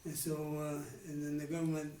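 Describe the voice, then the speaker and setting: Elderly Dutch man, halting and echoey. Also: fairly high pitch, completely flat delivery, warm tone, recorded from a distance, slight background noise. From Netherlands. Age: 90s. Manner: halting, many restarts and trailing pauses. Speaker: interviewee. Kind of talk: candid life-story interview.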